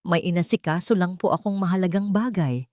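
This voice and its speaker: A woman voicing a wronged young wife who is calm, cold and determined, speaking steadily in an audio drama. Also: on the low side, brisk, dry recording, studio quality, natural voice. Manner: earnest, steady, unwavering.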